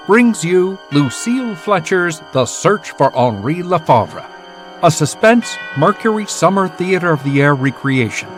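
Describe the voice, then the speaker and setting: Man, formal radio drama announcer, animated highish voice, faint music underneath, old-time style.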